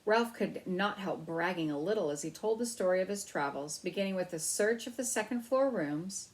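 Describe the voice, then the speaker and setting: A woman reading a children's book aloud as storyteller, in an expressive narrating style. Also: lowish voice, moderate pace, low-quality recording.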